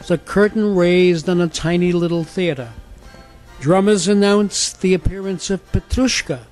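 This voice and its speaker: A man's voice: high-pitched, a little mellow. A man reading a scripted fairy tale as a storyteller.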